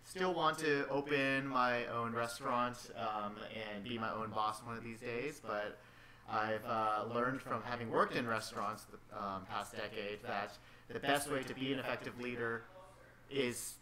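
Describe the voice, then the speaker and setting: A man in his late twenties, a guest in a casual chat, easygoing and soft-spoken, talking close to a desk mic. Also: medium pitch, steady tone, measured pace, a crowd in the background, poor audio. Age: late 20s.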